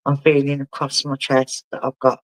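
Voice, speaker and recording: A man working as a spiritual medium, giving a live reading in a halting way and often asking the sitter whether it makes sense. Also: mid-range voice, monotone, no echo. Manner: halting, relays messages and keeps asking the sitter to confirm.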